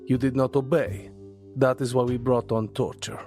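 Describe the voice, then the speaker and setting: An actor playing a taunting Russian mobster prisoner, speaking terse, broken English with a heavy Russian accent. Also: male voice, medium pitch, flat delivery, moderate pace, darkish tone, soft background music, natural voice. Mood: smug. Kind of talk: audio drama acting.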